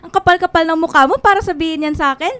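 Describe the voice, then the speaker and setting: A woman's voice: extremely high pitch, rapid-fire, pushed and bright. A woman playing a vulnerable, distressed teenage daughter in a radio drama. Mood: hurt, angry. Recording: music bed behind the voice.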